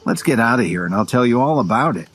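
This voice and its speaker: A man playing a calm, defiant young hero in an audio drama, speaking in a firm, controlled way. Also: mid-range voice, quick pace, slightly rough. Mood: composed.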